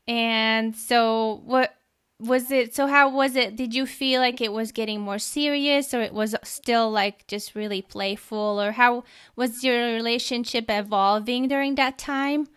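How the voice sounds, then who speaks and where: Smooth, higher-voiced woman host. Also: fairly even intonation, a little slow, a bit bright. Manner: curious, encouraging, many "mm-hmm"s. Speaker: podcast host. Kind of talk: interviewing.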